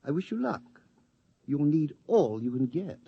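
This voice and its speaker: An actor playing a stern, cryptic military psych officer in a radio drama, formal and authoritative. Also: male voice, a little high, sing-song, a little slow, creaky, exaggerated voice. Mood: stern, guarded. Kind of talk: 1950s radio drama acting. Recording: dull lo-fi vintage radio recording.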